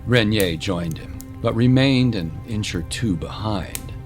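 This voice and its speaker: Male narrator for historical fiction; fairly deep voice, scripted dramatic storytelling, prominent music bed. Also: unhurried.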